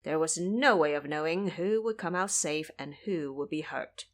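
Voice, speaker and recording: A woman's voice: sits low, normal speed. A woman narrating a scripted adventure story in a dramatic style.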